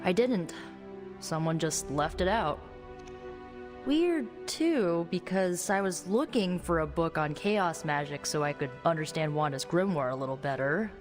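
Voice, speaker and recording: Young sorceress in a game, breezy n wry, chatty, really animated pitch, music behind. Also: female voice, unhurried. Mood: intrigued, earnest. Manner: breezy, conversational, playful. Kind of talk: video game cutscene acting.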